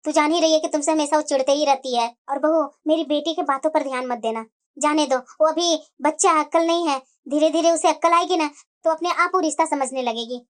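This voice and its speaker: A woman voicing an older mother-in-law who speaks gently and soothingly to her daughter-in-law. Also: high voice, fairly quick, pitched below the performer's own voice, close to an everyday voice. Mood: coaxing.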